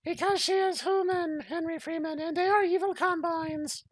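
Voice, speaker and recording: A man voicing an earnest, worried mother in a falsetto lady's voice for a comedic story reading. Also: moderate pace. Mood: earnest explaining. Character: young male hero's worried, earnest mother. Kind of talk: comedic dramatic reading of fan fiction.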